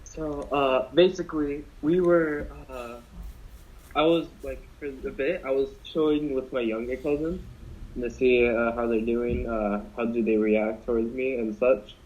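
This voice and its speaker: A man's voice: medium pitch, steady tone, measured pace, a little mellow. Young man rambling through a story. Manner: rambling, many fillers and restarts. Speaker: podcast co-host. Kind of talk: casual storytelling among friends. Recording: dull lo-fi podcast audio.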